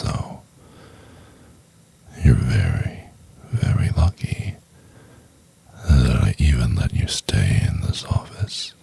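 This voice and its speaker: A man playing a cold, domineering, contemptuous chief executive in an ASMR roleplay, speaking in a husky, condescending, deliberate half-whisper. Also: very deep voice, darkish tone, heavily acted. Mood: scornful. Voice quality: husky, gravelly. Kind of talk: intimate ASMR roleplay, acted monologue.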